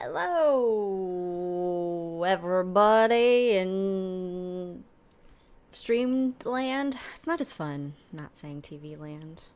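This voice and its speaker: A woman's voice: mid-range voice, expressive, drawn-out words, a little mellow, clear, resonant tone. A woman co-hosting a podcast in casual chat, rambling and laughing easily. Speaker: podcast co-host.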